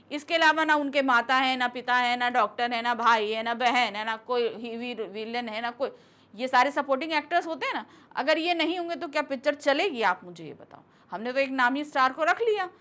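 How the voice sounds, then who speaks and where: A woman's voice: sits high, pushed and bright. A woman teacher goes through exam questions, patiently asking and answering her own questions. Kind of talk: exam question walkthrough lesson.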